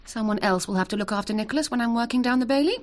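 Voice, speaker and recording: An actress playing an assertive English barrister's wife, brisk, pointed and articulate, in a British radio drama. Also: female voice, a little high, close to an everyday voice. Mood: pointed, reproachful. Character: barrister's sharp, assertive wife, ambitious to qualify herself.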